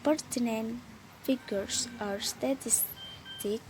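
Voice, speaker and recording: A young woman reading a scripted lesson as a student presenter, in a careful, slightly halting way. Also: fairly high pitch, over music, low-quality recording. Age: young adult.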